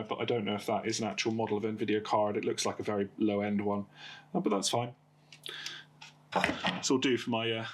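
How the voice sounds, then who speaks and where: Male host, croaky from a cold, hesitant workshop chatter, noisy home recording. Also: medium pitch. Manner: hesitant, many uh and um fillers. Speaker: host of a PC repair channel. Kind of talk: casual hands-on repair talk.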